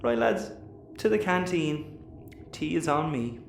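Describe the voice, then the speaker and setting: A man's voice: on the high side, expressive, slow pace, gravelly, echoey room, a little hiss or hum, full character voice. A man with an Irish accent acting a brash, bossy space captain in a sci-fi audio comedy. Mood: offhand.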